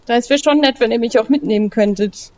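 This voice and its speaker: A woman's voice: on the high side, quick pace, a little mellow, muffled recording. A woman improvising conversationally as a player in a tabletop roleplaying game.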